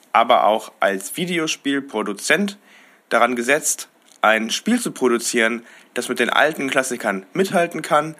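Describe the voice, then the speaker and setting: A man hosting a podcast, asking questions in a relaxed, loose way with fillers. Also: medium pitch. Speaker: podcast host. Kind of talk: casual interview.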